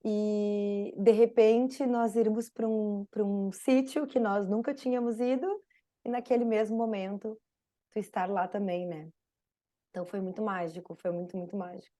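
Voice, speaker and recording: A woman's voice: medium pitch, steady tone, normal speed. A Brazilian woman with a Brazilian Portuguese accent, a podcast guest telling a story in casual conversation, full of fillers. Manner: warm storytelling with frequent fillers.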